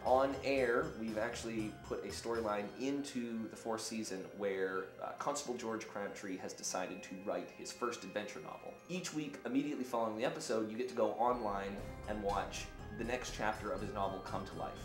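A man in his thirties gives an explanatory interview in a low voice. The room is echoey and music plays behind him. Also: a little fast, hardly any pauses. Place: indoor office with artwork on walls. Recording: roomy, echoey interview sound.

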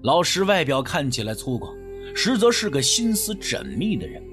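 A man's voice: a little high, big pitch swings, moderate pace, a bit bright, faint music behind. A man narrating a suspense audiobook in a dramatic, scripted style.

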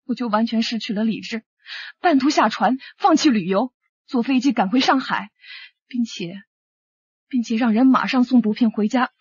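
Troubled singer lady in a radio play, highish husky voice, uneasy, hesitant. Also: moderate pace, no echo, muffled recording. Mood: anguished. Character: anguished, uneasy female singer with drug addiction. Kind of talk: radio drama acting.

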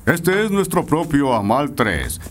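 A man's voice: fairly high pitch, big pitch swings, slow pace. A man voicing an authoritative, no-nonsense soccer coach in a cartoon dub. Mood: firm, explanatory. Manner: firm, instructive. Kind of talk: animated cartoon dub acting.

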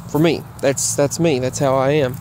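A man giving a casual, rambling update while walking outdoors, halting and often repeating himself. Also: mid-range voice, steady tone. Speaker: vlogger. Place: outdoors, walking in a grassy yard near roads. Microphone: earbuds microphone with a phone. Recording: clear outdoor traffic noise. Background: passing traffic.

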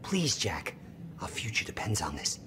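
Urgent, low-voiced male scientist. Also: huge pitch swings, moderate pace. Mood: pleading. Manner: urgent, explanatory, breaking into hushed whispers. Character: intense, insistent male scientist, older brother of the hero. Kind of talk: cinematic video game acting.

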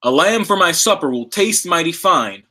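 A man's voice: on the high side, expressive, moderate pace, pushed and bright, voice pushed up. A man voicing a wily, boastful old male wolf in a read-aloud children's storybook, sly and pleased with himself. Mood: scheming. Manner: sly, self-satisfied, gleeful scheming.

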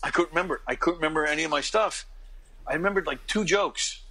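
Bright-voiced New man of fifty. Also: fairly high pitch, fast. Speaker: interview guest, actor and comedian. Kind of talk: casual interview anecdotes and advice.

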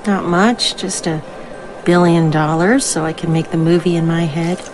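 A young actress playing a brisk, sardonic aspiring film director, talking conversationally in an animated drama. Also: female voice, on the low side, faint music behind, close to an everyday voice, rough recording. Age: young adult.

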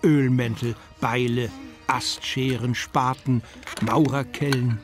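Male storyteller over clear background music. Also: mid-range voice, fairly even intonation, slow. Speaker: narrator. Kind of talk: scripted storybook narration. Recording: music under the voice.

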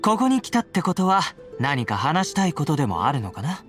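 A man playing a confident, playful young warrior in a Japanese anime-style game, teasing and affectionate in a breezy, smooth-talking way. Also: on the high side, very animated, brisk, a bit bright. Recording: game audio with background music.

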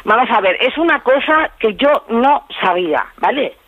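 A woman's voice: on the high side, expressive, normal speed, dry recording. A woman with a Spain accent talks on a dull, dry telephone line. She explains herself in a defensive, everyday conversational way. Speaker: prank call victim. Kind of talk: spontaneous phone conversation.

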